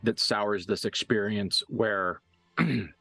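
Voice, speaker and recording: Low-voiced man running a tabletop game. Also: fairly even intonation, slow pace, gravelly, bright, forceful tone, breathy edge, faint music behind. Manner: casual, mildly profane. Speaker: game master. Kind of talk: improvised narration of a tabletop roleplaying game.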